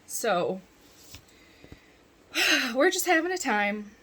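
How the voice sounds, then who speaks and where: A woman's voice: high voice, big pitch swings, drawn-out words, a bit bright, breathy edge. A woman vlogging in a casual chat from bed at home, congested and rambling, often laughing.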